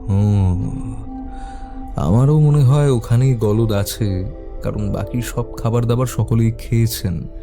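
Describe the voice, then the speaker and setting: পুরুষ কথকের গলায় শান্ত, নিচু স্বরের গোয়েন্দা. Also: male voice, on the low side, takes it slowly, vocal fry, over music. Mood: polite, focused. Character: calm, courteous male private detective and college teacher. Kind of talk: audio story character acting.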